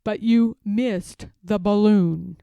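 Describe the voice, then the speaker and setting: A woman voicing an earnest, self-important male toy bear from a children's storybook, speaking deliberately. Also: mid-range voice, creaky, mellow, clean tone, no room sound. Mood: pleading, worried. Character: earnest, pompous little toy bear, male, slow-thinking.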